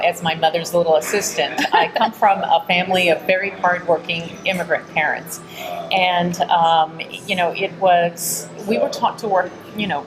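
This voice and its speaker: Earnest low-pitched woman from Texas. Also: low-quality recording. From Texas, United States. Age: middle-aged. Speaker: interview guest, entrepreneur. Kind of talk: conversational interview answers. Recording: busy crowd chatter behind. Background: crowd murmur.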